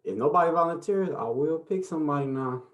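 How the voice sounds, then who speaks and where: A man's voice: fairly high pitch, animated, fairly quick. A man in his twenties presenting an online workshop, talking in an easygoing way with many fillers over a video call. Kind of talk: relaxed workshop facilitation.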